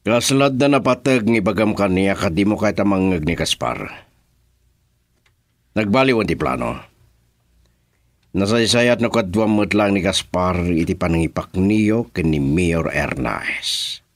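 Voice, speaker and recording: A crime boss in an Ilocano radio drama, with a low voice that swings widely as he gives orders. He is commanding, short-tempered and threatening, and his Ilocano accent comes through on a close, dry recording. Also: animated, a little slow, dry recording. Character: menacing crime boss ordering a kidnapping, quick-tempered man.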